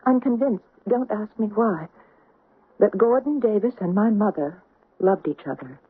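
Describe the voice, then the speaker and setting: A woman's voice: mid-range voice, animated, measured pace, breathy edge. An actress playing an earnest, insistent young woman in a radio drama. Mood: convinced. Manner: intense, insistent. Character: earnest, stubborn young woman haunted by her mother. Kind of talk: 1970s radio drama acting. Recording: muffled, hissy old radio recording.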